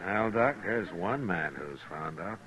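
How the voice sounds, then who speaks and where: A man's voice: deep voice, lively intonation, measured pace, fairly bright tone. A man playing a firm, laconic frontier marshal in a 1950s western radio drama. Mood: dry. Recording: dull vintage radio recording.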